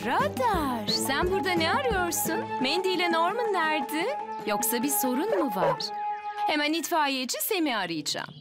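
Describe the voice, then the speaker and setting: A woman's voice: very high-pitched, sing-song, normal speed. A woman voicing a worried, caring villager in a dubbed children's cartoon, anxious and questioning. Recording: loud music under the voice.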